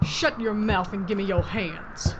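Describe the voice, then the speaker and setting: An actress playing a blunt, folksy Old West sheriff with an in an audio drama. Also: female voice, mid-range voice, fairly even intonation, unhurried, natural voice. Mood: commanding, scornful. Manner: plain-spoken, hard, folksy. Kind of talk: Western audio drama acting. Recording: muffled lo-fi with clear noise.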